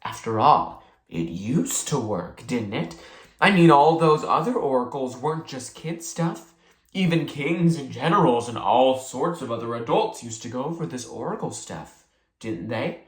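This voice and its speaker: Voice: mid-range voice, expressive, a little slow, close to an everyday voice. Grown-up reader doing a bossy theatrical kid from a storybook, very up and down, echoey room. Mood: coaxing, mysterious. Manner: solemn chanting, mock-innocent persuasion. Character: bossy, theatrical boy playing high priest, smooth schemer.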